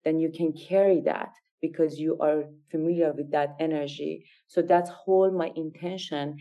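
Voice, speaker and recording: Low, soft-spoken Iranian-born woman. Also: steady tone, moderate pace, dull audio. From Iran. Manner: hesitant, searching for words in a second language. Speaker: guest fashion designer. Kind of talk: interview answers.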